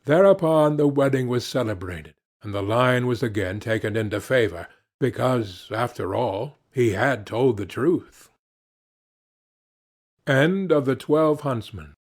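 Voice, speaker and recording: Expressive male fairy-tale audiobook narrator. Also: medium pitch, a little slow.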